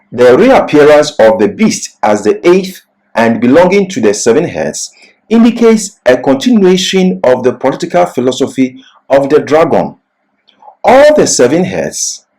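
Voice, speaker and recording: A man delivers a Bible lecture at a slow, measured pace. His pitch rises and falls widely as he stresses key phrases. He sounds like a deliberate teacher working through prepared notes, pausing between points. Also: a little mellow, poor audio. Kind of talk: scripted Bible lecture with slides.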